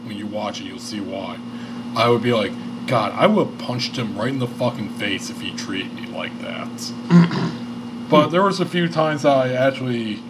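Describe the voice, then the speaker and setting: A man's voice: mid-range voice, normal speed, noisy background. Guy in his 30s rambling on a podcast, swears a lot, ac humming behind him. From Michigan, United States. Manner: rambling, profane, storytelling with many fillers. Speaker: podcast host. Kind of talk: casual podcast chat.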